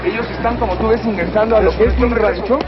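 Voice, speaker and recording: A man reporting live as a television news reporter, halting and insistent as he questions people. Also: sits high, steady tone, over a noisy crowd. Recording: muffled archive TV audio, loud music.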